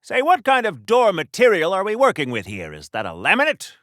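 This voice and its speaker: A male voice actor playing a chatty, courtly, oblivious gentleman adventurer in a comic superhero game, in a mannered, wordy 1940s old-time radio style. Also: high-pitched, huge pitch swings, brisk, dry recording, studio quality, heavily acted. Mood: earnest, inquisitive. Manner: mannered, wordy, theatrical, polite.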